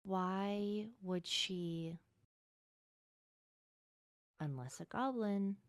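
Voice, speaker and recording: A woman's voice: mid-range voice, very slow, clean tone, no room sound. A woman playing in an online roleplay, casually talking and asking questions in character. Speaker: roleplay gamer.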